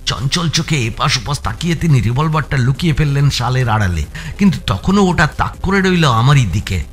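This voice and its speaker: A man narrating a suspense thriller in a dramatic, expressive audio story style, with music behind him. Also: mid-range voice, moderate pace. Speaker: narrator reading a first-person thriller.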